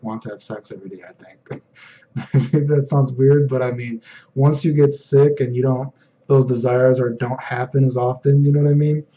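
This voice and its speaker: Young guy vlogging, deep mellow voice, rambles a lot w/ fillers, kinda dull lo-fi sound w/ faint hiss. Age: young adult. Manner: rambling, filler-heavy, frequent "you know what I mean". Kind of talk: casual personal vlog.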